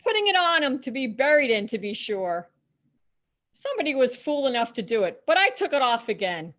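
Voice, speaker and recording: A woman's voice: high voice, sing-song, a little fast, a bit bright. A woman playing a bold, defiant Victorian charwoman in a dramatic story reading, speaking with scornful emphasis. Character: bold, defiant, unrepentant Victorian charwoman selling stolen goods.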